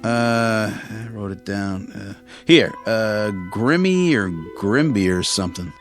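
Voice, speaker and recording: A man's voice: lowish voice, slow, faint music behind. An actor playing a chipper, folksy garage helper who defers to his boss in a radio drama. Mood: eager, helpful. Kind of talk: old-time radio drama acting.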